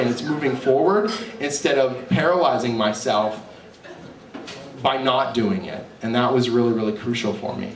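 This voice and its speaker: A man giving an informal talk as an author and comic artist, candid, animated and self-deprecating. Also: medium pitch. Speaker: author and comic artist presenting his work. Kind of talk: informal live talk with slides. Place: indoor cafe event space. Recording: roomy, distant mic, faint background noise.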